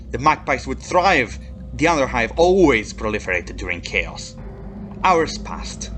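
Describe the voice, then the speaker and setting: A man giving an emphatic, expressive dramatic reading of a dark science fiction horror story as its narrator. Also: mid-range voice. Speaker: narrator reading his own short story. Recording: faint background noise and ambience. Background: atmospheric background music.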